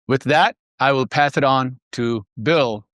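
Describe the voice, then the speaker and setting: A man speaking as a finance executive on an earnings call, presenting in a measured, businesslike way. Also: medium pitch, fairly bright tone, dry recording, clean studio sound.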